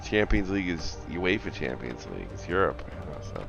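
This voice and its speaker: Profane, animated low-voiced man. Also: brisk, creaky, lo-fi sound, music in the background. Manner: rambling, profane, emphatic. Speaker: co-host of a soccer watch-along stream. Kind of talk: casual opinionated sports chat.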